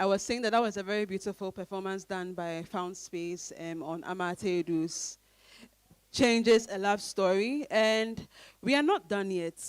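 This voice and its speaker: Event host: woman, medium pitch, closing announcements, very dry sound. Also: dry recording.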